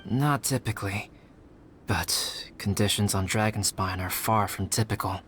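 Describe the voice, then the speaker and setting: A man playing a calm, scholarly young alchemist in a video game, speaking formally and with measured care, with music behind him. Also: fairly low pitch, a little hiss or hum. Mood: composed, intrigued.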